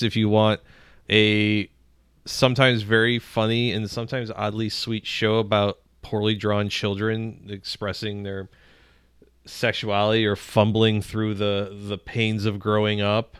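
Male co-host, crisp forward voice in a lowish register, halting casual delivery with deadpan comic asides. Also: no echo, clean studio sound. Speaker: podcast co-host. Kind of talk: casual comedic podcast chat.

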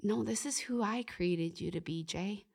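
A woman's voice: medium pitch, unhurried, gravelly, a little mellow, breathy edge. A young woman, a podcast guest talking earnestly and reflectively about faith, often saying "like" and "you know". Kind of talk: faith-based podcast conversation.

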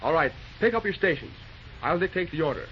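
Actor as busy railroad night dispatcher: male, fairly high voice, brisk folksy slang, vintage radio play with noisy sound. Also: expressive, natural voice. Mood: businesslike. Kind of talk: old-time radio drama acting. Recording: muffled, noisy old radio recording.

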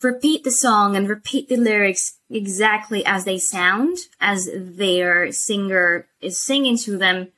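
A woman from Spain, an English teacher, speaking English with a soft American accent as a guest in a casual interview, enthusiastic and animated. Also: medium pitch, a little slow, hardly any pauses. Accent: soft American English learned by a Spanish speaker. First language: Spanish.

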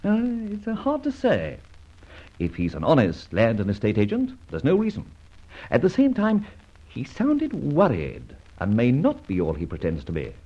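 Suave, swooping-voiced gentleman adventurer. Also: mid-range voice, wildly swooping pitch, breathy edge. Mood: planning, assured. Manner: urbane, polite, teasing, deliberate hesitations. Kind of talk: old radio drama acting. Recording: dull vintage radio recording.

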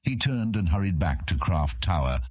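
A man's voice: deep voice, sing-song, moderate pace, a little mellow, husky, no echo. A man narrating a fantasy audiobook in a scripted, dramatic storytelling manner.

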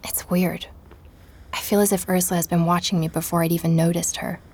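A woman's voice: fairly low pitch, flat delivery, fairly quick, a little mellow, slight background noise, natural voice. An actress playing an introspective young woman who narrates her own investigation in a scripted first-person audio drama. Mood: hushed wonder. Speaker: narrator and protagonist.

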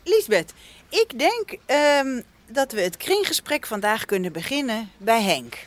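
An actress playing a dry, mocking, needling colleague at a radio show in a radio comedy. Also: female voice, fairly high pitch, sing-song, unhurried. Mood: mock-eager needling.

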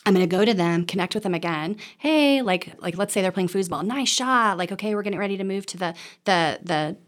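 A woman's voice: mid-range voice, a little fast. A woman explaining in a conversational way as a counselor being interviewed, often checking in with "right?" and slipping into example dialogue. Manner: animated self-talk, checks in with "right?". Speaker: counselor or therapist, interview guest.

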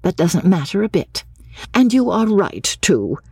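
A woman narrator plays a guarded young woman in a storybook, listless and brittle with her family. Her pitch moves widely, at an unhurried pace over music. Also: very animated, darkish tone, slightly rough, dry recording, studio quality, full character voice.

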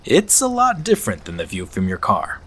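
An actor playing an affable, knowing RV traveler in an audio drama, conversational and slightly teasing. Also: male voice, fairly low pitch, huge pitch swings, normal speed, a bit bright, exaggerated voice. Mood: informative, enthusiastic. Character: affable, knowing male RV traveler, subtly probing. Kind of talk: naturalistic audio drama acting.

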